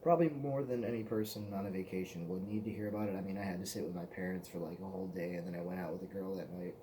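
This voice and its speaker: A man's voice: sits low, fairly even intonation, racing, background noise. A man in his twenties, hosting his own vlog in a loose, profane, meandering casual chat. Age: 20s. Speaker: vlog host.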